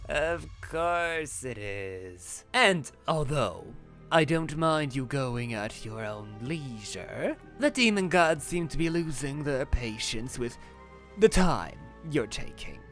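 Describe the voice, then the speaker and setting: A man playing a sly, taunting demon messenger in an animated roleplay, with a teasing, sarcastic drawl. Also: a little high, slow, faint music behind, heavily acted. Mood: dryly taunting. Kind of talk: Minecraft roleplay voice acting.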